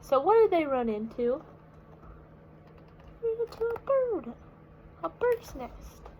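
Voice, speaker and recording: A woman reading a picture book aloud to children, explaining simply and pausing to put questions to her listeners. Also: very high-pitched, big pitch swings, dark tone, slight background noise. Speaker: read-aloud storyteller.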